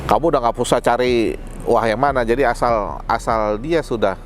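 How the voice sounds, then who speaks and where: A man's voice: mid-range voice, moderate pace, a little hiss or hum. A man giving a casual product demonstration, explaining things colloquially and speaking directly to viewers. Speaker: product presenter.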